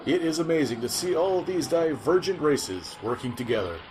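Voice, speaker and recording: A man's voice: a little high, lively intonation, normal speed, noisy background, close to an everyday voice. A man playing an earnest futuristic news reporter in a scripted sci-fi audio drama. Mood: earnest, curious.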